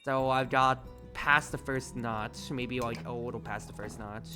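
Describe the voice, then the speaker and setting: A streamer commentating on a game and reading its text aloud in an expressive, theatrical, exclamatory way. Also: medium pitch, unhurried, soft background music. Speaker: streamer, host.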